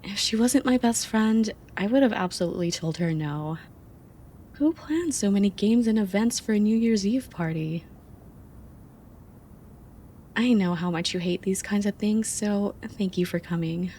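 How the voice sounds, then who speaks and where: A young woman playing an affectionate, teasing friend in an intimate ASMR roleplay, speaking softly and expressively. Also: mid-range voice, moderate pace, a little hiss or hum, lightly acted. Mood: warm, apologetic. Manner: soft, playful, expressive.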